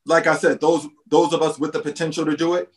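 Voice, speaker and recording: A man's voice: on the high side, flat delivery, fast. A man speaking earnestly in conversation, persuading with a run of rhetorical questions. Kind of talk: casual conversation.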